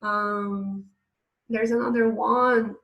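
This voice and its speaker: A woman's voice: medium pitch, flat delivery, drawn-out words, creaky, lo-fi sound. Woman teaching English. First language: Portuguese. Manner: chatty, reading slides aloud with asides. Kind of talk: online English lesson.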